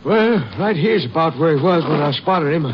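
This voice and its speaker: A man's voice: a little high, lively intonation, moderate pace, natural voice. A man playing a plain-spoken, matter-of-fact Texas rancher in a 1950s radio drama. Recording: dull, faintly noisy old radio recording.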